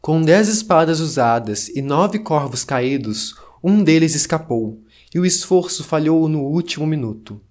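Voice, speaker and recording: A man's voice: a little high, lively intonation, a little slow, hardly any pauses, a little mellow. A man playing an ancient survivor of a fallen dynasty, narrating in a solemn, formal storytelling manner. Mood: solemn, grave. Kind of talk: scripted dramatic narration.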